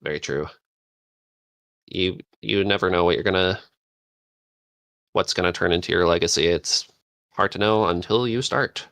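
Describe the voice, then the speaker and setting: A very low voice in a dry recording, talking informally on a group podcast. The speaker comes across as playful and jokey, chatting off the cuff as one of the panel. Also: moderate pace, long pauses, fairly bright tone, no room sound. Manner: playful, joking. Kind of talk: casual group chat.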